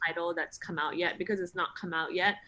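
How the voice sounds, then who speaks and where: A woman's voice: on the low side, steady tone, a little fast, sharp tone. A woman actress being interviewed, talking casually and self-deprecatingly, with lots of fillers and restarts. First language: not Thai. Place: in Thailand.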